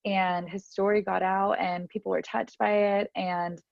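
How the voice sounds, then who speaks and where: A woman's voice: mid-range voice, flat delivery, a little slow, vocal fry, a little mellow, no echo. A woman, telling a personal story candidly as an interview guest. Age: 30s. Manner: candid, chatty, lots of fillers. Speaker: podcast guest. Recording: dry, slightly muffled audio.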